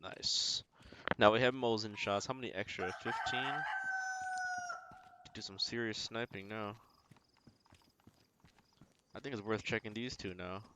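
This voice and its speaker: A man's voice: on the low side, fairly even intonation, measured pace, pauses often, noisy background. A man giving casual video game commentary as a streamer, thinking aloud in short, slangy remarks.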